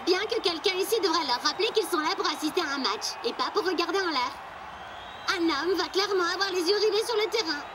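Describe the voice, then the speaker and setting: A woman's voice: very high-pitched, quick pace. A woman voicing a dramatic, wry female TV sports presenter in a cartoon, emphatic and showy, heard at a distance over noise.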